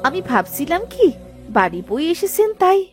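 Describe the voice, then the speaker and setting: A woman's voice: high-pitched, big pitch swings, moderate pace, soft background music. A woman playing a meek, dutiful young daughter-in-law in a village cartoon, speaking deferentially and anxiously. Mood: anxious, hesitant. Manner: soft-spoken, deferential, anxious. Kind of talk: dramatised cartoon dialogue.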